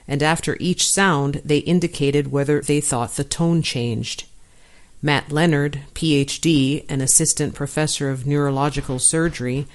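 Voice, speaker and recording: A voice reading a science news article aloud in an even, neutral, scripted way. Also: mid-range voice. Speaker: article audio narrator.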